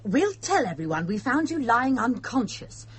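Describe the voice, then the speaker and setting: An inquisitive young woman in a radio drama. Her high voice is strongly husky and swoops with animation. She sounds eager, concerned and insistent. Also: big pitch swings, normal speed.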